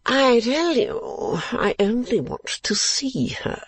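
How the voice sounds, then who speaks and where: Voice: medium pitch, sing-song, slow, breathy edge, dry recording, whispered, voice pushed up, exaggerated voice. A narrator voicing a foolish, eager young English lord in a storybook, with an affected, wheedling drawl. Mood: pleading. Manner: drawling, affected, hushed and pleading.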